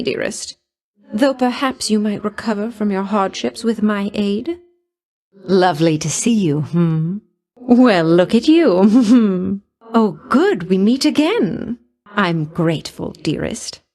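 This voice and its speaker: A woman's voice: on the low side, darkish tone, heavily acted. A woman voicing a flirtatious, vain and jealous goddess of love in a video game, speaking in coquettish, condescending endearments with a veiled threat underneath. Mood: cooing concern, then pleased, sweet.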